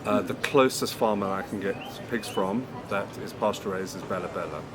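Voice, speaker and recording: A middle-aged man from Zambia talks in an explanatory interview style. His voice is very low, and loud traffic and urban noise sits behind him. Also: normal speed. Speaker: small restaurant owner.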